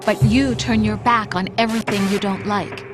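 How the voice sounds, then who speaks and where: A woman's voice: mid-range voice, fairly even intonation, normal speed, close to an everyday voice. An actress playing a hurt young woman who speaks to her lover in a reproachful, cutting way, in a video game cutscene. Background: game music.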